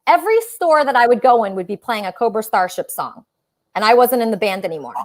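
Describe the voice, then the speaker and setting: A woman's voice: a little high, sing-song, fast. A woman hosting a casual livestream talk show, chatting with callers in a gushing, emphatic way.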